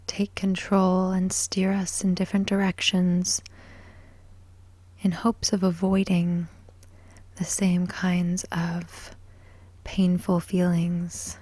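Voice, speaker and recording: A woman guiding a sleep hypnosis in ASMR style, soft, breathy and whispery, soothing and measured, with long pauses between short phrases. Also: medium pitch, no echo. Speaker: hypnosis and meditation guide.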